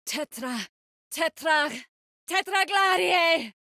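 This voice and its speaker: Woman doing a young fantasy game sorceress, caring but wry, passionate, intimate and expressive, mid pitch, bone dry studio sound. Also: a little slow, dry recording, natural voice. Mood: animated, urgent. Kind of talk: fantasy video game voice acting.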